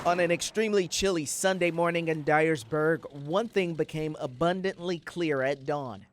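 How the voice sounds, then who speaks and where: A man's voice: fairly high pitch, moderate pace, background noise. A man delivering a scripted TV news report as the reporter.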